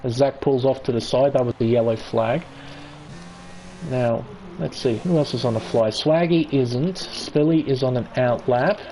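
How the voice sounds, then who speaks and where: A man's voice: mid-range voice, moderate pace, warm tone, slight background noise, low-quality recording. An Australian man giving chatty, enthusiastic live play-by-play commentary on an online racing league qualifying session. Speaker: esports race commentator.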